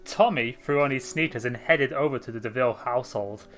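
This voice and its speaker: Young male reader, mid-pitched, offhand asides, casual fan fiction read. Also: fairly quick, fairly bright tone, soft background music. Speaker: story reader, channel host.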